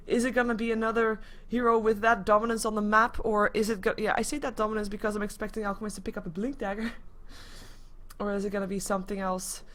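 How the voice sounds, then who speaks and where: A woman casting an esports match live in a casual, chatty, expressive way. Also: medium pitch. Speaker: esports commentator.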